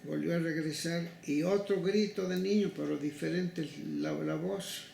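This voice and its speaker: An older Mexican man recounts past cases as an interviewee. His voice is very gravelly and fairly high for a man, and he speaks in a halting, deliberate way. The room rings with echo around him. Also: fairly even intonation, a little mellow. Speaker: interviewee, human rights legal advocate. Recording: echoey room with faint noise.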